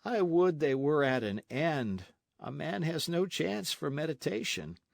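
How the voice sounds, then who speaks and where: A lazy young gentleman who drawls his words slowly and sighs at every exertion. A man voices him in a storybook reading. His voice is a little raised, with a gravelly edge and lively pitch, recorded dry and close. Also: no echo, full character voice. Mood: languid. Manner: slow, sighing, mildly weary. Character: languid idle young male nobleman.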